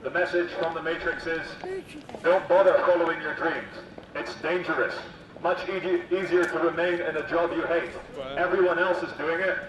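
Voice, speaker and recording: A man's voice: a little high, steady tone, moderate pace, crowd noise, pitched above the performer's own voice. A man playing a mock authoritarian city announcer through a megaphone, preaching obedience in a satirical public address. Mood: mock-official, preachy. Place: outdoor city plaza. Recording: echoing megaphone outdoors, far off, muffled.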